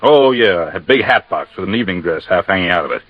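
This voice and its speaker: An American actor playing a matter-of-fact, folksy Coast Guard captain explaining things in a 1950s radio drama. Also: male voice, low voice, animated, fast talker. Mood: chatty. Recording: dull, dry vintage radio recording.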